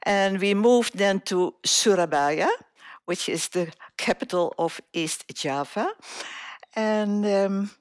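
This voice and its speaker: A woman in her eighties, born in Indonesia, telling her life story as an interview guest, slowly and with many hesitations. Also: mid-range voice, dry recording, studio quality. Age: 80s. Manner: slow, hesitant, many filler sounds.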